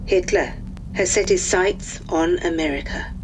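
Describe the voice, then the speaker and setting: An actress playing a military officer delivering a formal mission briefing, with faint ambience behind. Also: female voice, on the low side, measured pace, a little hiss or hum, close to an everyday voice, rough recording.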